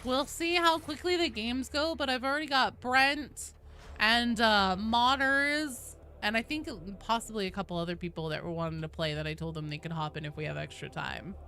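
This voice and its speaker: A woman's voice: on the high side, normal speed, pushed and bright, a little hiss or hum. A woman doing casual live gaming commentary, informal and sweary, chatting with her viewers. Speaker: game streamer, host.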